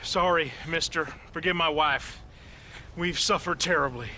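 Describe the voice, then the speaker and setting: A man's voice: a little high, unhurried, pushed and bright, slight background noise. A man playing a put-upon, stammering townsman in a comic game cutscene. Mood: apologetic, weary. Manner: stammering, whiny.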